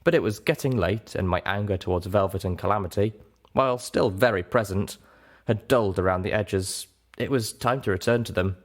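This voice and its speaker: A man's voice: lowish voice, brisk. A man reading a first-person story aloud as its narrator. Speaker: story reader.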